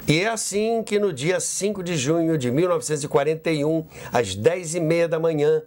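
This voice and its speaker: A man's voice: on the high side, normal speed, darkish tone. A Brazilian man hosting a history podcast in a conversational storytelling way, with rhetorical questions and asides. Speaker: podcast host.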